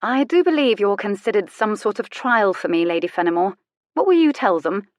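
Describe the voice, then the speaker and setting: A woman's voice: mid-range voice, lively intonation, a little fast, darkish tone, no room sound, pitched above the performer's own voice, lightly acted. A woman acts out a worldly young widow and courtesan from a romance storybook. She is cool, bold and unflappable, with playful wit. The recording is close and dry. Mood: wry.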